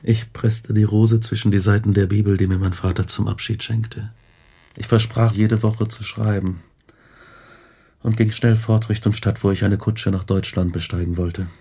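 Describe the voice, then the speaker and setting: An actor playing an earnest, ambitious young student in a radio drama, speaking in clearly phrased dialogue and narration. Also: male voice, lowish voice, level tone, moderate pace, dark tone. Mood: reflective. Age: young adult. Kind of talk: radio drama acting, with first-person narration.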